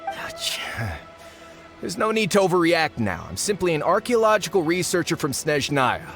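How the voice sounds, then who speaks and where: An actor playing a scheming foreign archaeologist who speaks politely and persuasively, in a game cutscene. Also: male voice, on the high side, very animated, normal speed. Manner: polite, persuasive, slyly eager. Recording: game audio with music.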